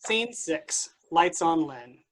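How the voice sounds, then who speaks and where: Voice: medium pitch, big pitch swings, slow pace, a bit bright. A narrator reading scripted stage directions for a staged play.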